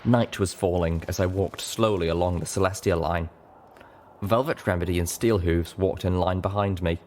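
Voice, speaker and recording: A man's voice: sits low, fairly even intonation, normal speed, a little mellow, a little hiss or hum. A man reading a scripted first-person story as an audiobook narrator.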